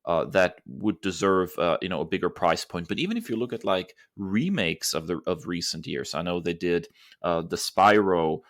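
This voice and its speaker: A man with a deep voice speaks as a podcast co-host. The sound is dry, with very little room in it. He talks in a casual, conversational way, stressing his opinions. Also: normal speed, dry recording.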